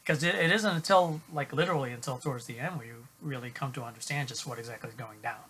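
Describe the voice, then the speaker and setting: A middle-aged man giving an unscripted film review to camera at home, halting with many fillers and restarts. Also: mid-range voice, pushed and bright, rough recording. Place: small room at home, indoors.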